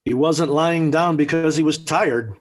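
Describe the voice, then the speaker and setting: An actor playing a sarcastic, hot-tempered middle-aged American father in a 1940s radio sitcom, with a slightly rough voice. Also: on the high side, a little slow, lightly acted. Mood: indignant, sarcastic. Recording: old 1940s radio recording.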